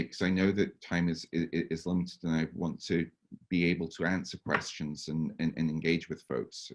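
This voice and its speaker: Hoarse low-voiced man, stammering restarts. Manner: halting, many stammered restarts and fillers. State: hoarse, losing his voice with a cough. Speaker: workshop presenter. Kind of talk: academic Q&A answer on a video call.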